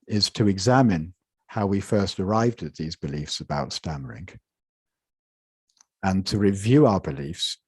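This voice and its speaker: Low husky man giving a slide lecture. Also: no echo. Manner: measured, with frequent repetitions and restarts. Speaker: conference presenter.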